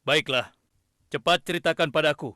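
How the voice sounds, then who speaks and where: A man voicing a stern, commanding king and prophet in a cartoon, speaking in a measured, regal way. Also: a little high, sharp tone. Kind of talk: cartoon voice acting. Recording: music bed behind.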